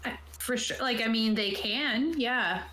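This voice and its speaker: A woman's voice: a little high, measured pace, bright, forceful tone, slight background noise. A woman casually explaining tabletop game rules and reading rulebook passages aloud, as a game master on an online call. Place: indoor room, online video call. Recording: roomy, echoey home recording.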